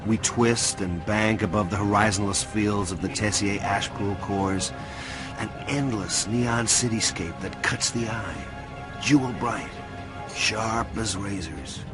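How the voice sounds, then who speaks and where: Hacker narrator: fairly low, intense, lyrical first-person radio drama narration over a clear score. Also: male voice. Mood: intense awe. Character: intense male hacker narrating his own cyberspace ride.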